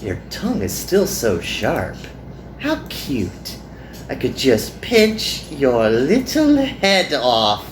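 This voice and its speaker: A man's voice: medium pitch, huge pitch swings, slow pace, voice pushed up. Guy doing a flirty bossy anime magical woman, drawls her taunts, condescending, echoey with some noise behind. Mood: smug, mocking. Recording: roomy echo, some background noise.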